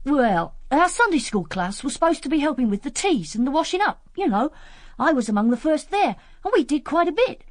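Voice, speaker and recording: A woman's voice: high-pitched, very animated, fairly quick, no room sound, studio quality. A woman voicing a cheeky, matter-of-fact country schoolboy with a rural English accent in a radio drama.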